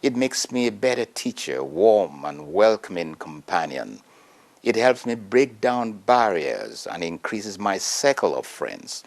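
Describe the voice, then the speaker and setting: A man reading a prepared essay aloud in a measured, earnest way. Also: lowish voice, sing-song, creaky. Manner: measured, earnest oratory with emphatic rises.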